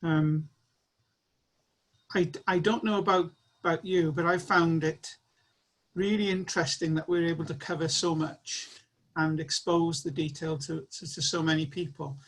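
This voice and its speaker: A man's voice: on the high side, steady tone, measured pace, a bit bright, low-quality recording. A man with a slightly raised voice chairs an online annual meeting. He speaks in an earnest, encouraging way as he wraps up. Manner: earnest, rallying closing remarks.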